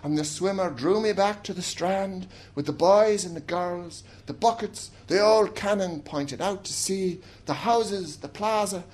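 A reader giving a measured, lyrical reading of a first-person literary passage. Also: mid-range voice, a little mellow.

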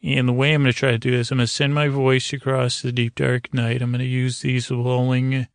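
Creaky-voiced man rambling gently to lull listeners. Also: mid-range voice, level tone, no echo, clean studio sound. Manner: meandering, halting, stammering, trailing off mid-thought. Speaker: podcast host. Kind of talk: rambling, soothing bedtime sleep-podcast monologue.

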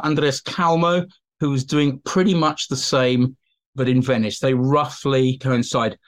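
Male academic guest, mid-range voice, informal and enthusiastic explainer with a digressive, conversational delivery. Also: medium pitch. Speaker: expert guest, teacher and writer. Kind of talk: informal expert explanation in an interview.

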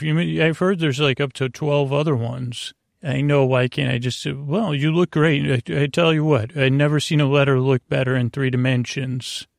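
A man with a gravelly, creaky voice talks very close and dry, as if hosting a bedtime show. His delivery is halting and meandering, drifting through mumbly, whimsical tangents meant to send listeners to sleep. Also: mid-range voice, no echo. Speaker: podcast host.

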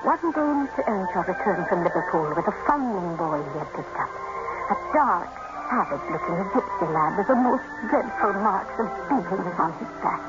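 An actress playing a loyal housekeeper who narrates the story, plain-spoken and ominous, in an old radio drama. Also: female voice, medium pitch, animated, normal speed, loud music behind the voice, lightly acted. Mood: narrating. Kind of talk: 1940s radio acting and narration. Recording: muffled old radio recording with loud hiss.

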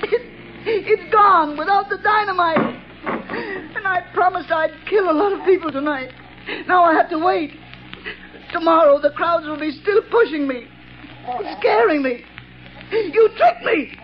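A man's voice: very high-pitched, a little slow, pauses often. An actor in a 1940s radio drama playing a deranged, fearful male night watchman and bomber who stammers, giggles and repeats himself. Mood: panicked, tearful. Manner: stammering, repetitive, giggling, excitable. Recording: muffled old radio broadcast.

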